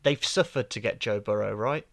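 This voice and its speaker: A man's voice: fairly low pitch, normal speed, vocal fry, no room sound. Guest, male, chatty and opinionated, dry close sound. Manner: chatty, opinionated, laughs easily. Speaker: podcast guest. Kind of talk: casual sports podcast chat.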